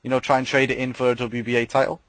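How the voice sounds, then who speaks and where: A man's voice: mid-range voice, little pitch movement, racing, dry recording. A man co-hosting a casual podcast chat, hesitant and full of "you know" fillers.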